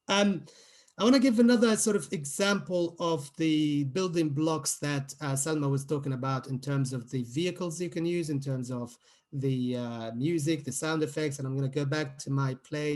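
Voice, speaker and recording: A man's voice: a little high, darkish tone. A man from Palestine giving an informal talk as a guest writer, explaining things conversationally with hesitant, searching phrasing. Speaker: radio playwright, guest speaker.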